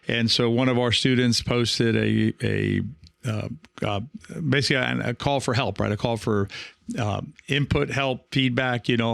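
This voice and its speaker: A man's voice: medium pitch, vocal fry, no echo. A man hosting a coaching podcast in a casual monologue, speaking in a hesitant, rambling way as he thinks aloud. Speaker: podcast host and pickleball coach.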